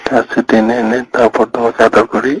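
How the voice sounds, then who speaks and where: A man's voice: mid-range voice, little pitch movement, fairly quick. A young man chatting casually and intimately on the phone. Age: young adult. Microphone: phone call. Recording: noisy phone call with clicks.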